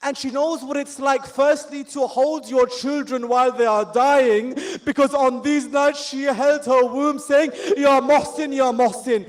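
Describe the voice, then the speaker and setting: Guy with a really high voice doing an emotional mourning recitation, storytelling style. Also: fairly even intonation, unhurried, few pauses. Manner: emotional storytelling, rising to anguished pleading. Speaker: majlis reciter. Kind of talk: mournful religious sermon recitation.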